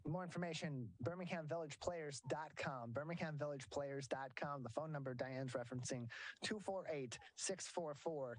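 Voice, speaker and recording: A man's voice: mid-range voice, no echo, poor audio. A man hosting a broadcast interview, speaking in a wordy way and repeating details for listeners. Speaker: interview host.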